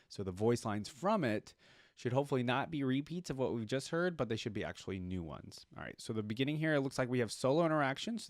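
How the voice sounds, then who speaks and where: A man's voice: medium pitch, dry recording, clean studio sound. A man hosting a reaction video, giving chatty, rambling commentary on game voice lines in a casual way. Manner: chatty, rambling, thinking aloud.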